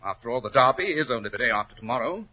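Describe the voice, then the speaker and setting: A commanding detective in vintage radio drama. He speaks with a forceful edge and slightly rough tone, swinging widely in pitch, formal and dryly ironic on an old 1940s recording. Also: expressive, very fast. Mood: measured.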